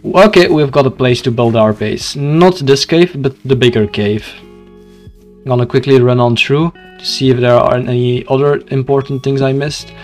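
A man's voice: mid-range voice, normal speed, darkish tone, faint music behind. A young man from Belgium with a Dutch accent, casually commenting on a game for his live chat and often tripping over his words. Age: young adult. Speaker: video game livestreamer.

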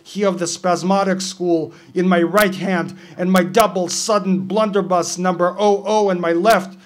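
Man putting on a loud declaiming pirate, high voice, brooding and wordy. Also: close to an everyday voice. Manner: loud, declaiming, long winding sentences. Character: verbose brooding male pirate narrator.

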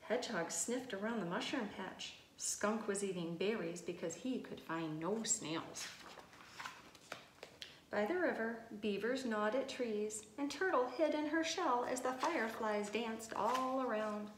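Echoey, higher-voiced woman reading to young children. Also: a little slow. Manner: simple, expressive phrasing addressed to children. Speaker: preschool teacher reading aloud. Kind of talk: read-aloud storytelling for young children. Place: indoor room with tiled wall. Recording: echoey room with noticeable hiss.

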